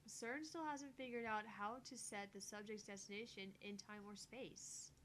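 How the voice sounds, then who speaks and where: A woman's voice: medium pitch, fairly even intonation, normal speed, fairly bright tone, slight background noise, lightly acted. A woman voicing a skeptical, brainy young woman scientist in a visual novel, explaining matter-of-factly and dismissively. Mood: analytical. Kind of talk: visual novel dialogue read aloud.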